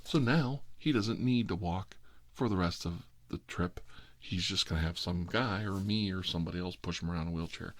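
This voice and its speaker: Low-voiced American man telling a story. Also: normal speed. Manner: exasperated comic storytelling, acting out dialogue. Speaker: storytelling host. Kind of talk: casual rambling anecdote.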